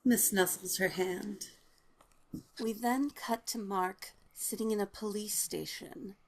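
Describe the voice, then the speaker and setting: A woman's voice: medium pitch, fairly even intonation, takes it slowly, low-quality recording. A woman reading stage directions as scripted narration for a table read.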